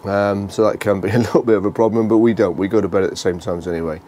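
A British man giving a casual, unscripted walkthrough tour, speaking haltingly and repeating phrases as he goes. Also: fairly low pitch, steady tone, mellow, a little hiss or hum. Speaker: vlogger giving a motorhome tour.

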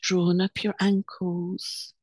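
A woman leading a guided meditation in a soothing, unhurried, instructing way with pauses between phrases. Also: on the low side, level tone, a little mellow, no room sound, clean studio sound.

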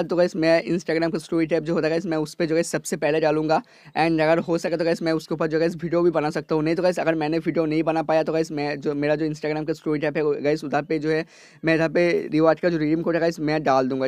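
A hoarse young man, a gaming YouTuber talking casually and rambling, filling every pause with "guys". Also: fairly high pitch, darkish tone, dry recording. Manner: repeats "guys" constantly, rambling filler-heavy delivery. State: hoarse from a cold. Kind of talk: casual screen-recorded gaming update.

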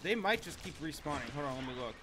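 A man's voice: fairly high pitch, very animated, quick pace, heavy background noise. A man, middle-aged, chatting casually and in a laid-back, profane way as he plays a game with a friend. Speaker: gamer streaming a co-op playthrough. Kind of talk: casual gameplay chat. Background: game music and sound effects.

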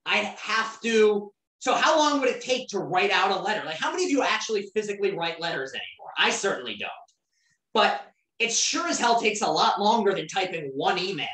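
Woman teacher, mid-pitched, casual lecture peppered with questions to the class; distant mic, roomy. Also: expressive, brisk, fairly bright tone. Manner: conversational, keeps asking the class questions.

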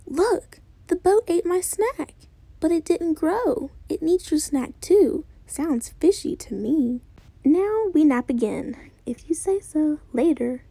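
A woman voicing two silly, eager young noodle brothers in a storybook, talking in an excited, playful way. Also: sits high, takes it slowly, creaky, no echo, full character voice.

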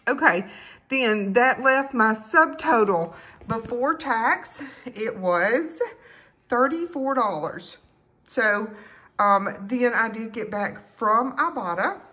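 A woman chatting casually to viewers as a couponing vlogger, in a halting, rambling way. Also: on the high side, animated, pushed and bright, husky. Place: indoors at home.

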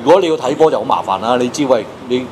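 A man's voice: mid-range voice, lively intonation, very fast, a little mellow, slight background noise. A man in his fifties with a Hong Kong Cantonese accent, hosting a casual chat in a studio. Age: 50s. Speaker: host. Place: small studio with padded acoustic panels.

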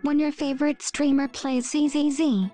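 A woman's voice: sits high, flat delivery, moderate pace, dark tone. A woman doing a scripted voice-over, reading short captions and jokes aloud as a narrator. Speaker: meme video narrator. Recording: faint music behind the voice. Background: faint music.

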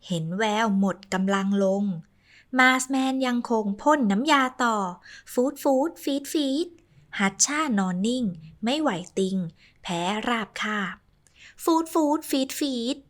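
A woman narrates a rhyming children's tale in a bouncy, sing-song way, throwing in playful sound effects. Her voice sits somewhat high and swoops widely in pitch. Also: lively intonation, a little slow. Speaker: storyteller.

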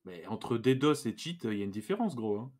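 A man's voice: mid-range voice, big pitch swings, fairly quick, darkish tone. A man in casual, argumentative voice chat, blunt and slangy, with a sarcastic edge. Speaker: gamer streaming an online roleplay game.

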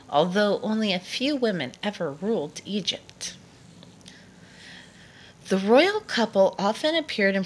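A woman reading a children's nonfiction book aloud to a child in a measured storytelling delivery. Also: medium pitch, slight background noise.

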